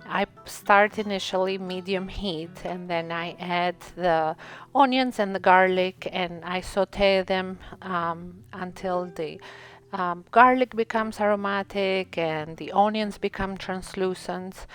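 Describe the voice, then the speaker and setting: A woman's voice: on the low side, slow pace, clear, resonant tone, faint music behind. Albanian-born lady walking you through a fish recipe, easygoing, says um and like a lot. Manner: relaxed and chatty, with frequent ums and likes. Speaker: cooking channel host. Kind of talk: casual cooking tutorial voice-over.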